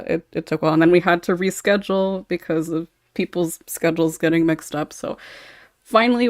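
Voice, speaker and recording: A woman's voice: lowish voice, no room sound, studio quality. A woman hosting a casual podcast, chatting informally as she introduces guests. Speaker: podcast host and writer.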